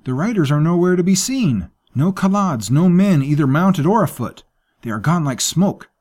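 A panicky, deferential young manservant bursts in with breathless reports, a man putting him on high and tense. He sounds fearful of his master and is always in a hurry. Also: big pitch swings, moderate pace, a little mellow. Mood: alarmed. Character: frantic young male servant, anxious, deferential.

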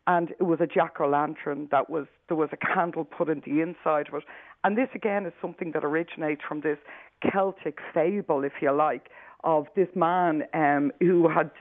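A woman with an Irish accent, a professor being interviewed on the radio, explaining at length in a measured way with frequent restarts. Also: lowish voice, no room sound. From Ireland. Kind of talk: expert explaining on a radio call-in. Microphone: telephone. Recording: tinny phone line, dry.

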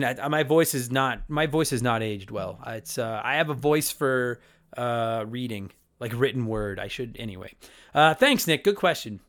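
A man's voice: medium pitch, a bit bright. A man in his thirties hosting a casual chat show, answering listener letters in a candid, profane, rambling way with lots of restarts.

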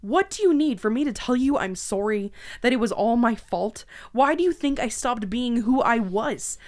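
A woman acting a guarded, bitter young captive ex-girlfriend in a dark dramatic podcast reading, defensive and often hushed. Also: a little high, expressive, moderate pace, lightly acted. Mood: angry, defiant.

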